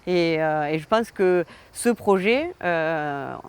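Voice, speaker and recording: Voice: medium pitch, expressive, slow, smooth. A mayor answering interview questions in a conversational way. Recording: outdoor noise with traffic.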